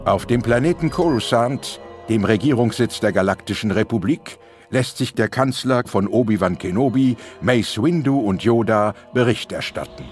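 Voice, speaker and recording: Ein Mann liest als Erzähler den Text eines dramatischen Hörspiels. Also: male voice, lowish voice, animated, moderate pace, faint music behind. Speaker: narrator. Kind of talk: scripted dramatic audio drama narration.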